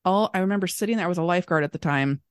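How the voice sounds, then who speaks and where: A woman from Kentucky hosts a podcast in a chatty, easy way, laughing often. Her voice sits low and sounds a little scratchy from allergies. The recording is close and dry. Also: racing, smooth, no echo. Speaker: podcast host. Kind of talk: casual interview chat.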